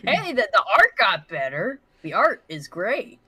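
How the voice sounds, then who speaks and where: A woman co-hosting a livestream with casual banter and commentary, animated, blunt and sweary. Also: medium pitch, unhurried, slightly rough, no echo. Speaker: co-host of a livestream.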